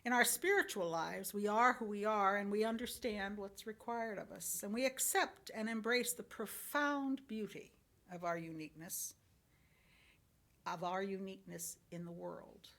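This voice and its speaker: Creaky-voiced woman reading a sermon. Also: medium pitch, moderate pace, a bit bright. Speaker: lay speaker at a church service. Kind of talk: sermon, read from a script with short personal commentary.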